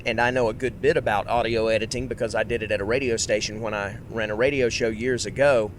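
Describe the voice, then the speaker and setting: Man, solo podcast host, rambling, projecting voice, faint road rumble behind. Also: steady tone, fast. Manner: rambling, self-correcting, projects his voice. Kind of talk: casual solo podcast monologue. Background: road noise.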